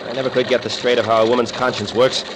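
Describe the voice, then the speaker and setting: An actor playing a gruff, decisive, cynical sea captain with an American accent and a curt, bossy, wry manner in an old-time radio drama. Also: male voice, medium pitch, level tone, quick pace, lightly acted. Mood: wry, confident. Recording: noisy vintage radio recording, engine drone.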